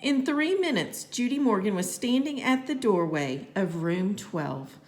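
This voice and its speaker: A woman narrates a children's novel as a read-aloud. Her pitch is mid-range with lively rises and falls, and her phrasing is expressive and deliberate. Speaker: storybook reader.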